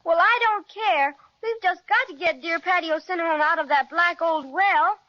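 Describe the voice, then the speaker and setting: A sweet eager little girl, super high and bright, old kids radio drama, muffled recording. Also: normal speed. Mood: pleading. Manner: earnest, exclamatory. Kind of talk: 1930s children's radio serial acting. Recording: dull vintage radio recording.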